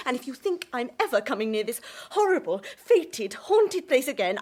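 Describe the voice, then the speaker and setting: High-voiced, histrionic young actress. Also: female voice. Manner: histrionic, gushing. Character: overwrought, self-pitying young actress, theatrical and spiteful. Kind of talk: radio drama acting.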